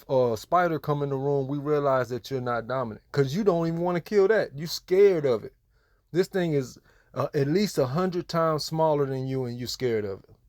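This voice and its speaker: A man's voice: medium pitch, a little mellow, no room sound. Admonishing American man with a dry sound. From United States. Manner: admonishing, repetitive, emphatic. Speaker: religious commentator. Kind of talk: preachy reaction commentary.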